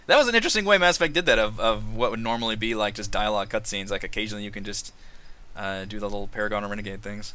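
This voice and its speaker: Guy hosting a casual tabletop roundtable, smooth clear voice, bright tone, chatty asides. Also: lowish voice, racing. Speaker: host of a tabletop RPG discussion.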